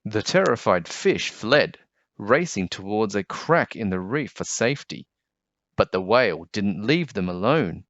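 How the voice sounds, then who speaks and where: A man narrating a children's picture book in an expressive, scripted read-aloud style. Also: fairly low pitch, measured pace, no room sound, dull audio.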